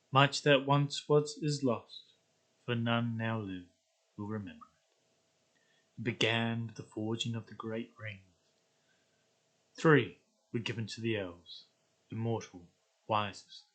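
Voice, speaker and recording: A man playing a solemn narrator of an epic fantasy film prologue, reading in a measured way. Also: mid-range voice, poor audio.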